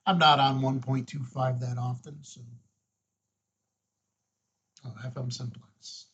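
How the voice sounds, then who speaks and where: A man hosting an unscripted, casual software walkthrough, thinking aloud and murmuring to himself as he works. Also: mid-range voice, little pitch movement, slow pace, dull audio, a little hiss or hum. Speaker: host of a radio tutorial.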